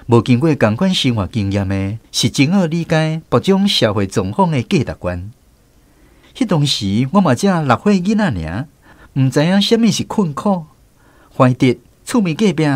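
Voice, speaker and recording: A man's voice: medium pitch, no echo. A man with a Taiwanese accent telling a scripted story as a radio narrator.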